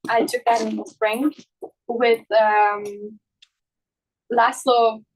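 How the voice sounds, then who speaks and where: A young woman from Slovakia giving unscripted answers as a student on a panel, often pausing mid-phrase. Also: fairly high pitch, flat delivery, husky, poor audio. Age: young adult.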